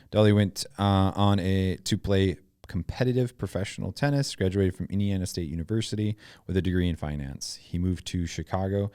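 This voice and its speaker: Deep-voiced, chatty male podcast host. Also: a little mellow, smooth, dry recording, clean studio sound. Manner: upbeat, chatty, quick to laugh. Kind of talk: casual interview conversation.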